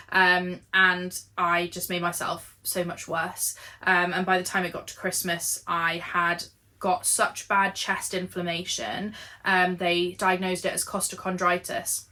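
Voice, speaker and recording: A British woman giving a candid, chatty life update with a croaky voice and lots of filler words. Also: lowish voice, pushed and bright. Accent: British English. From United Kingdom. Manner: candid, earnest, with frequent fillers. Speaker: vlogger. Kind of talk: chatty, honest life update while knitting.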